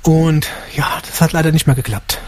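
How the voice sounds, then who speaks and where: A man raised bilingual, a guest on a radio show, answering in a relaxed way with short replies and easy laughs. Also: a little high, normal speed. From Germany. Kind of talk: radio interview.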